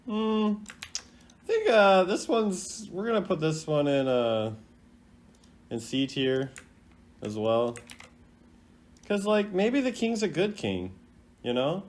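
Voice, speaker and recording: A man's voice: high voice, very animated, slow pace, long pauses. A man hosting a video in casual unscripted commentary, rambling and hesitant in a laid-back way. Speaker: host ranking game voice lines.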